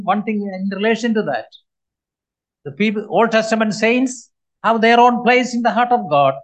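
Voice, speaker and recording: A man in his seventies preaching a sermon to a congregation, earnest and emphatic, with many pauses. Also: high voice, rough recording. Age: 70s.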